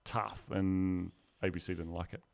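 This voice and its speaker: A man, co-hosting a casual sports podcast with relaxed, dry teasing. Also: sits low, measured pace, gravelly. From New Zealand. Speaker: podcast co-host.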